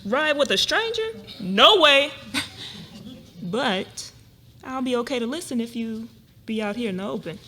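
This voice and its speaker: A woman playing a wary, independent young college graduate in a live dramatic reading, skeptical, expressive and colloquial. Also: on the high side, measured pace, long pauses. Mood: incredulous. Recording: noisy live room recording.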